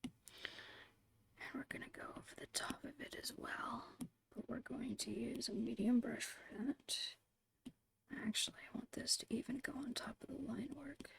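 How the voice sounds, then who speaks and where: A woman whispering in a breathy, creaky voice, murmuring her way step by step through an ASMR art narration. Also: fairly high pitch, fairly even intonation. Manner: unhurried, murmured step-by-step musing. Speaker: host of an art ASMR channel.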